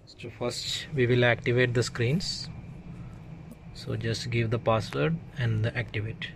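Man giving instructions over clear background noise. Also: mid-range voice, fairly even intonation, measured pace. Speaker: tech tutorial presenter. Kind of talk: hands-on step-by-step demonstration. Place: indoors at an equipment workbench. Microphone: handheld phone camera mic. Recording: clearly noisy room ambience on handheld recording.

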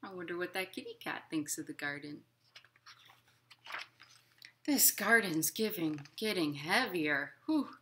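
A woman in her thirties hosting a storytime for young children at home, expressive and encouraging in simple words. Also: lowish voice, measured pace, pauses often, slight background noise. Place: living room at home.

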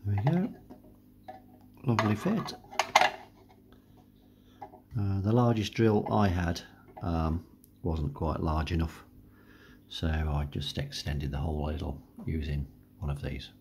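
A man's voice: rumbling low, slow, pauses often, a little mellow. A middle-aged man thinking aloud in an informal, hesitant way as he gives a casual hands-on demonstration. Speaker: hobbyist radio video host. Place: indoors at home workbench and radio desk. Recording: clear background hiss and room noise. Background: radio static, ambient noise.

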